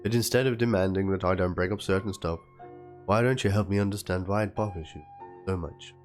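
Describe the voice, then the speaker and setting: A man with a fairly low voice performs a dramatic reading of a written document. He narrates it theatrically. Faint music plays behind his voice. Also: fairly even intonation, a little fast. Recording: music under the voice. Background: background music.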